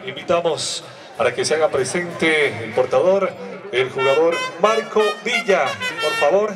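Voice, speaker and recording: A man's voice: on the high side, a little slow, music in the background. A man with an Ecuadorian accent announcing a ceremony as its host. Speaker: master of ceremonies. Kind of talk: event announcing. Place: outdoor sports ground.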